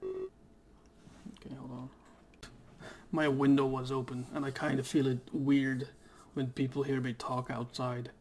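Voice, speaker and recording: A young man talks casually over a game at home into a desk mic, and he rambles and hesitates with plenty of fillers. His throat is irritated, so he keeps clearing it. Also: medium pitch, sing-song, unhurried, darkish tone. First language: Dutch. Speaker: gaming YouTuber. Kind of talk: casual let's play commentary. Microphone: desk microphone.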